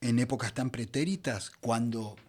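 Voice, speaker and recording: A middle-aged Argentine man from Buenos Aires telling anecdotes conversationally as an interview guest, in an expressive, theatrical way. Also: medium pitch, normal speed, slightly rough. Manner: expressive storyteller, acts out quoted dialogue. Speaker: interview guest, veteran voice actor.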